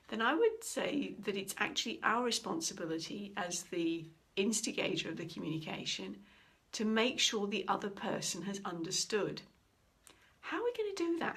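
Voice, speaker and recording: A middle-aged woman, a communication trainer, giving an instructional talk and telling a story with lively, acted-out quotes. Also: medium pitch. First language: English. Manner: animated storytelling, acting out quoted speech.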